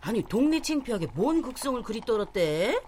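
A woman's voice: fairly high pitch, sing-song, a little fast, darkish tone, a little hiss or hum. An actress playing a headstrong older mother who brushes off her daughter and scolds her bluntly. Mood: brushing off. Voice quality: brassy, scolding. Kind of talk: TV drama acting.

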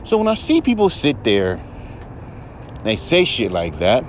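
A man with a baritone voice hosting a podcast, ranting in a heated, profane, rambling way. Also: wildly swooping pitch, measured pace, a little hiss or hum. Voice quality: deep baritone voice. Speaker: podcast host. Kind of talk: casual podcast rant.

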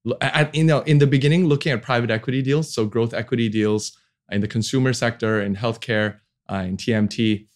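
A man's voice: medium pitch, steady tone, a little fast. A man in his forties from Hong Kong, talking casually as an interview guest about his career, with hesitant phrasing. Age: 40s. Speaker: podcast guest, venture capital founder.

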